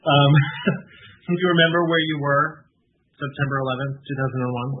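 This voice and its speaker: A man's voice: medium pitch, brisk. A man hosting an interview, fighting a cold and sounding a little nasal, asking questions in a joking, inquisitive way. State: has a cold, slightly nasal. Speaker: host. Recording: tinny phone line with faint noise.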